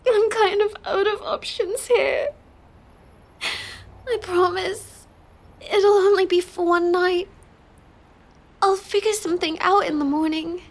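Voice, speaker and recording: A woman playing a shy, sweet young villainess who feigns helplessness in a halting, apologetic way for an intimate roleplay audio. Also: extremely high pitch, fairly even intonation. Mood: hesitant, grateful.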